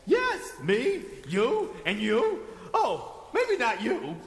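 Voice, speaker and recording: A man's voice: extremely high pitch, wildly swooping pitch, drawn-out words, full character voice. A man playing a crazy, skinny captive wizard in a comedic audio drama, theatrical and teasing. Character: crazy skinny bearded captive wizard, eccentric last tribesman. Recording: very echoey, long stone-cell reverb.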